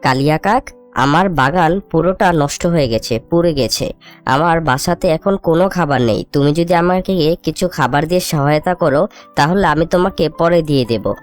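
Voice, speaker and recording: A sorrowful mother bird from a children's cartoon, voiced by a woman. She sounds earnest and plaintive at a middle pitch, a hardworking soul who has been wronged. Faint music plays behind her. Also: lively intonation, measured pace, close to an everyday voice. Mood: pleading.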